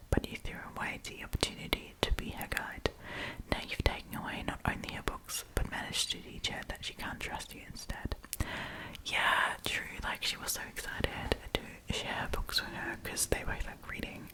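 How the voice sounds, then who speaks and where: Woman, breathy unvoiced whisper, ASMR storytime host, chatty, informal, rambling commentary. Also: poor audio.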